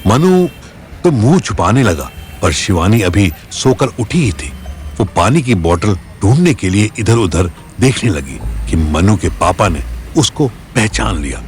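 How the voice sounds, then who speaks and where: A man's voice: on the low side, big pitch swings, unhurried, a little mellow. A man narrating a scripted audio story as its storyteller. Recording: music bed behind voice.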